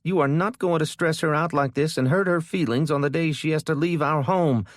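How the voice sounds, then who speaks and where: A furious, bossy young big sister, voiced by a man, yells and scolds in a high, strained voice. She sounds loud and worked up. It is an audiobook reading with dry, close sound. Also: a little fast, no room sound, lightly acted. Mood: scolding. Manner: yelling, scolding.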